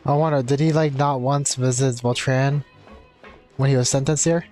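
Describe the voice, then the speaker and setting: A man with a medium-pitched voice reacts casually as he plays a game on stream. Game music runs behind his talk. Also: fairly even intonation, normal speed, a little mellow.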